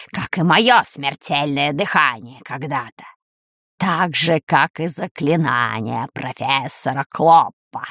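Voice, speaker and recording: A woman voicing a menacing old plague sorceress from a fantasy storybook, slow and commanding, with a creaky voice. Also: deep voice, a bit bright, no echo, clean studio sound, pitched below the performer's own voice, heavily acted. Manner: slow, drawn-out, menacing delivery.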